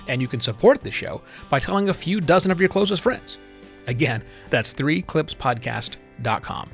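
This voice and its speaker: A man's voice: a little high, fairly bright tone. A man hosting a podcast, delivering scripted announcements straight to the listener. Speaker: podcast host. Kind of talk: scripted podcast outro narration.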